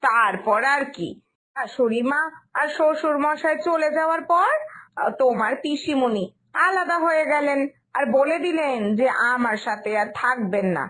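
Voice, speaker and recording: A woman's voice: sits high, animated, normal speed, a bit bright, low-quality recording. A woman voicing a fussy, stubborn older mother-in-law in a cartoon story, explaining herself firmly.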